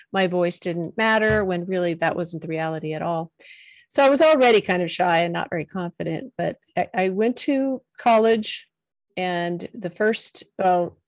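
A middle-aged woman, a podcast guest, telling her personal story in a soft, earnest voice. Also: mid-range voice, no room sound. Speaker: podcast guest, confidence coach.

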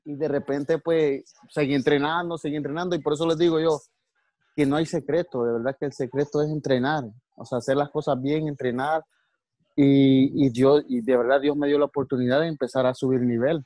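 Earnest Salvadoran man, guest coach; mellow tone, slightly high, dry close sound. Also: fairly even intonation, fairly quick, no echo. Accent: Salvadoran Spanish. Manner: earnest, many restarts and religious asides. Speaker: guest martial arts coach. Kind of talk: interview.

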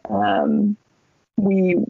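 A woman in her thirties telling a story as a podcast guest, talking in a casual, animated way with lots of fillers and the odd swear word. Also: medium pitch, extremely slow, creaky, breathy edge, no room sound. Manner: animated, chatty, many fillers and swear words. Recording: dull dry podcast recording.

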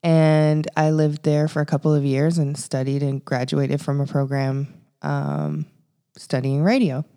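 A woman's voice: sits low, a little slow, warm tone. A woman from Canada in her thirties, co-hosting a podcast and chatting casually about her life. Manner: chatty storytelling with fillers and laughs. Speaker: podcast co-host.

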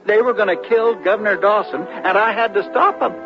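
An actor playing an earnest, agitated storyteller in a 1970s radio drama, on a dull recording with music. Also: male voice, high voice, fairly quick. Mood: insistent, agitated.

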